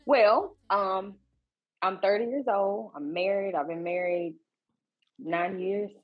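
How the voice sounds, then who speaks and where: Husky woman in her thirties. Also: mid-range voice, slow pace, no room sound, dull audio, faint music behind. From Georgia, US. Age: 30s. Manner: relaxed, repeats words and restarts often. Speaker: guest, fitness coach. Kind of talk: casual podcast interview.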